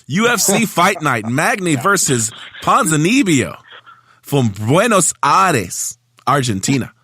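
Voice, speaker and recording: A man hosts a laid-back sports podcast, reading through a fight card. His voice is bright and swings widely in pitch. He stumbles over names and laughs it off. The sound is dry, with no room echo. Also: big pitch swings, slow, husky. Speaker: podcast host.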